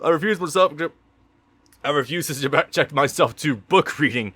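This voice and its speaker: Loud, bright-voiced man rambling over gameplay. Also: fairly high pitch, moderate pace, breathy edge, no echo. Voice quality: loud, projecting voice. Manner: rambling and frank, swears freely. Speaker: let's play host. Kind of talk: casual gameplay commentary. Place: at home at his computer, thin walls. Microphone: computer recording mic.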